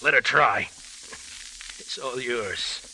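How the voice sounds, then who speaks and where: A wounded old-time radio prospector, bitter and obsessed with gold. His slangy, insistent voice is very sharp and forceful, at a middling pitch, on a hissy vintage recording. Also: slow, creaky, full character voice, low-quality recording. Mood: feverish, insistent. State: weak from wounds. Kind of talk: 1940s-50s radio drama acting.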